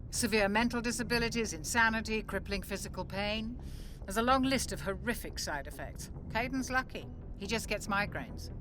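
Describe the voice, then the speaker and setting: An actress playing a seasoned, caring military ship's doctor in a sci-fi video game, measured and gently wry. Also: female voice, medium pitch, noisy background, close to an everyday voice. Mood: matter-of-fact. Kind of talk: video game dialogue acting. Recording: game music behind the voice. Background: music.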